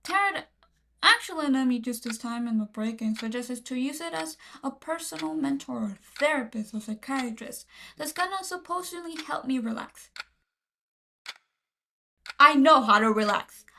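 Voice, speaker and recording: A high-voiced actress as a manor secretary speaks. She is polite on the surface and quick to get exasperated. The radio play has a faintly noisy old-cassette sound. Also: pushed and bright. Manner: polite but easily irritated, slips into Spanish. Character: fussy, exasperated female manor secretary, bilingual, quick to scold. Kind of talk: radio drama acting, recorded-diary monologue. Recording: noisy cassette-style recording with clicks.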